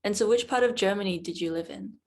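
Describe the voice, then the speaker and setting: A woman leads a relaxed interview, nudging her guest along with quick, encouraging replies. Her mid-pitched voice carries a steady gravelly fry. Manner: encouraging, repeats short replies like "cool, cool". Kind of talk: casual chat.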